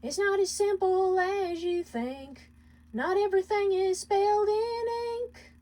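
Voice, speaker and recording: A woman plays a scolding imp wife in a storybook drama. She is quick-tempered, defensive and dismissive, her voice raised high and snappy. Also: animated, dark tone. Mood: warning singing. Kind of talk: dramatic storybook character reading.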